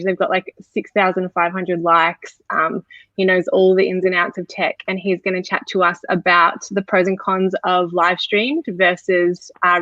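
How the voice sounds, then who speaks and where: A woman introducing a guest in a quick, chatty way. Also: lowish voice, a bit bright, dry recording, dull audio. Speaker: co-host. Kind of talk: casual introduction.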